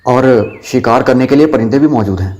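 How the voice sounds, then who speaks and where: A man's voice: mid-range voice, fairly quick, a little mellow, lightly acted. A man playing a hungry, easygoing young male student in an amateur audio drama. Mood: hungry, cheerful.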